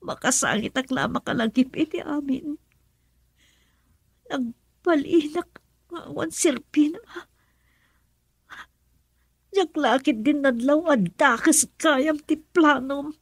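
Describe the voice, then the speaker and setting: A woman's voice: high voice, takes it slowly, dry recording. A woman playing an earnest, wounded young woman full of remorse, in radio drama style with a Filipino accent. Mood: anguished.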